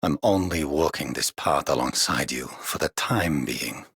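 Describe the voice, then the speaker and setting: An actor voicing a stern, jaded warrior for a game, gravelly and husky, speaking gravely and curtly. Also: male voice, rumbling low, a little slow, a bit bright, a little hiss or hum, exaggerated voice. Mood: stern, cold. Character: stern, jaded older male warrior, grudging ally. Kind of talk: scripted game character voice line.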